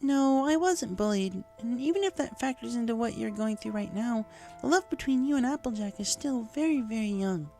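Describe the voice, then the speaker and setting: A woman voicing a calm, soft-spoken mare pony who speaks earnestly and with measured care in a story. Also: a little high, creaky, voice pushed up. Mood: gently advising. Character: calm, gently cautioning mare pony recovering from injury. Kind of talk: fan fiction character dialogue. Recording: music behind the voice.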